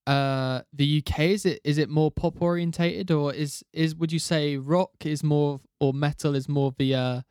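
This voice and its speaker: A man's voice: fairly high pitch, fairly even intonation, moderate pace, few pauses, a little mellow, clear, resonant tone, no room sound, studio quality. A man hosting a casual interview, steering the talk with questions and hesitant fillers. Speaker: host.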